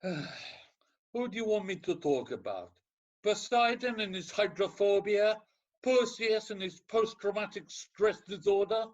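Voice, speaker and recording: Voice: mid-range voice, lively intonation, unhurried, lo-fi sound. An actor playing a scornful, impatient counsellor in a comedic radio play, speaking with sarcastic exasperation. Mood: mocking, exasperated. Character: scornful, impatient, unsympathetic ancient Greek counsellor.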